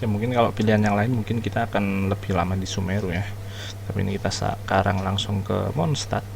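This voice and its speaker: A man's voice: on the low side, little pitch movement, quick pace, creaky, slight background noise. An Indonesian man giving casual commentary as he streams a game playthrough. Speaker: gaming streamer. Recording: game music behind voice.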